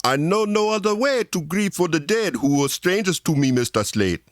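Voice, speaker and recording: A man's voice: on the high side, lively intonation, normal speed, dry recording, studio quality, lightly acted. An actor playing a mournful Cuban balladeer who half sings his recap in broken English in a comedy audio drama. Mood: grieving. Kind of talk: sung-spoken improvised musical narration. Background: plucked guitar music.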